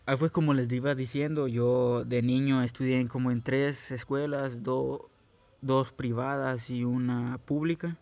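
Casting: young Guatemalan man, loose rambling storyteller, mid-pitched, dull home-mic sound. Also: steady tone, unhurried, a little mellow. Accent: Guatemalan Spanish. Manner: rambling, hesitant, many restarts and fillers. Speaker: podcast host. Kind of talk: casual personal storytelling.

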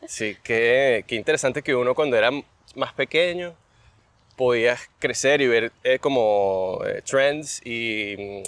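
A thirty-five-year-old man hosting an interview podcast, narrating in a measured way and chatting casually with his guest. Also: medium pitch. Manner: calm, measured narration; easygoing in chat. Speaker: podcast host.

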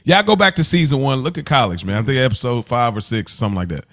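A man's voice: mid-range voice, very animated, fast, a bit bright, no echo, clean studio sound. An American man hosting a casual TV discussion podcast, talking colloquially, thinking aloud and checking in with his listeners. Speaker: podcast host.

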